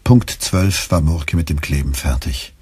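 Casting: male radio drama narrator, low-pitched, very husky, dry close sound, sober and matter-of-fact. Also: unhurried, a little mellow, no echo. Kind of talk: scripted radio play narration.

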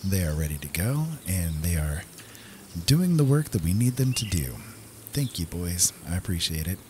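Low-voiced man doing casual game commentary. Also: big pitch swings, normal speed, a little mellow, slight background noise. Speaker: gaming video host.

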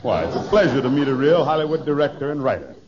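A man playing a polite, well-spoken, puzzled gentleman in a 1950s American radio sitcom. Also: mid-range voice, sing-song, fast, noisy background, lightly acted. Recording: muffled old radio, studio audience.

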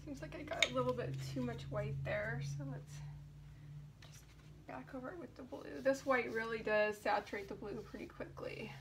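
A woman's voice: fairly high pitch, moderate pace, background noise. A woman in her thirties giving a casual hands-on DIY tutorial indoors, thinking aloud informally as she works. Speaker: DIY furniture painting vlogger. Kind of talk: casual tutorial chat while working.

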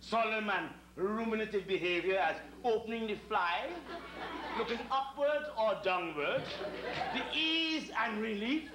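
A high-pitched middle-aged Trinidadian male servant in a stage comedy, sly and wordy beneath his deference. He speaks with elaborate mock solemnity. The sound is a roomy, noisy old tape of a theatre performance. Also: a little slow, crowd noise. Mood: mock-solemn, indignant. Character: deferential yet sly, verbose retired calypsonian hotel servant. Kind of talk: live stage comedy acting. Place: theatre stage before an audience. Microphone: distant camera microphone.

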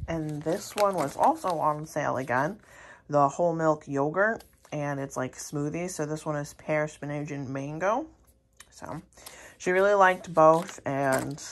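A young American woman narrating a casual vlog in a chatty, rambling way. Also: low-pitched. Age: young adult. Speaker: vlogger. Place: indoors at home, kitchen table. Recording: clear background noise, handheld home recording.

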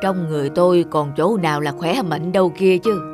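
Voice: medium pitch, a little fast, close to an everyday voice. An actor playing a grumpy, fault-finding old man in a cartoon, with a blunt, complaining delivery. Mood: sour, dismissive. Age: older. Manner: blunt, dismissive. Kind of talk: animated cartoon dubbing. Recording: loud music under the voice.

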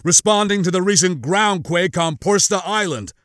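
A man voicing a cocky space smuggler pilot in an audiobook, urgent and quick to bark orders. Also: sits high, fairly bright tone, dry recording, studio quality, pitched above the performer's own voice. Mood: feigned desperation.

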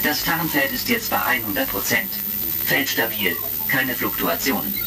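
Gravelly analytical combat robot. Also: male voice, mid-range voice, huge pitch swings, measured pace, over music, heavily acted, low-quality recording. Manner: announces each remark's tone in clipped phrases. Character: big red combat robot, analytical loyal companion. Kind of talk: retro sci-fi audio drama acting.

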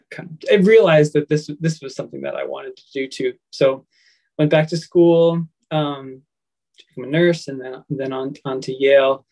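An American man in his thirties or forties, casually recalling his experiences on a video-call panel, halting and repeating words as he goes. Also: fairly high pitch, darkish tone, poor audio. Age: 30s or 40s. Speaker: panelist, nurse. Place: indoor room, video call. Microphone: laptop mic on video call.

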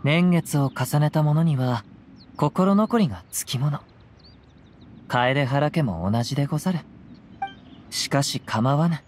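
A man voicing a gentle, reflective young wandering samurai in a video game, speaking calmly and poetically in archaic phrasing. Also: on the high side, unhurried, long pauses, slight background noise. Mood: calm, wistful. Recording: music behind the voice.

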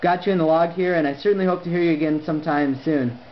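A man with a slightly high voice chats as an amateur radio operator. His phrasing is deliberate and articulate. The recording is a bit dull, with faint noise behind him. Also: fairly even intonation. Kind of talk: conversational ham radio exchange.

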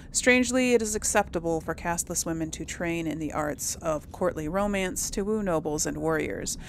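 A woman's voice: on the low side, creaky, slight background noise. A woman hosting a casual let's-play, reading lore entries aloud and thinking aloud with many ums.

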